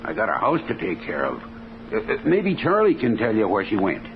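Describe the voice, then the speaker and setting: An actor playing a defensive, folksy American rooming-house landlord in 1950s radio drama, halting and repeating his words. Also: on the low side, wildly swooping pitch. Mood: hesitant, helpful. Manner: halting, stammering, colloquial. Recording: noisy, tinny 1950s radio recording.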